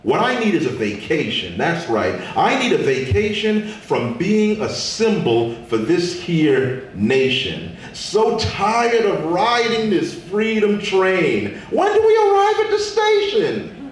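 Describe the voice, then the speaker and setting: A man's voice: high-pitched, huge pitch swings, heavily acted. Man performing a sassy, weary Statue of Liberty, echoey. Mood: sassy, pleading. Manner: dramatic, sing-song rhymed delivery with drawn-out words. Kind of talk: live spoken word poetry performance. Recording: echoey room, distant mic, faint noise.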